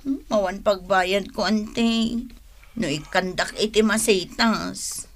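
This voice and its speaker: A woman's voice: mid-range voice, takes it slowly, slight background noise. An actress playing a debt-burdened married woman in an Ilocano radio drama, with a plaintive, sighing delivery and an Ilocano accent. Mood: resigned. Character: distressed married woman burdened by debts, remorseful wife.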